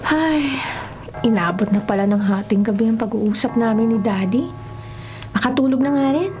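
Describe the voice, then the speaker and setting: A woman plays a big sister in a radio horror drama. Her voice is high, chatty and a little bossy, confident and dismissive, with clear music underneath. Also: animated, normal speed, natural voice. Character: confident, dismissive older sister of a young boy. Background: background music.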